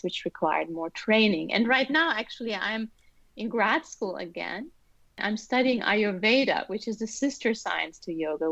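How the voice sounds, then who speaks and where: A woman's voice: mid-range voice, no room sound. A middle-aged woman from Austria, a guest answering interview questions thoughtfully with light laughs. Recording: dull lo-fi sound, dry.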